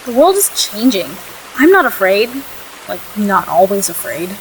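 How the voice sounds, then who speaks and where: A woman's voice: medium pitch, sing-song, normal speed, a little hiss or hum, close to an everyday voice, poor audio. A young woman playing a restless, defensive fifteen-year-old in a contemporary audio play, with a casual, youthful manner.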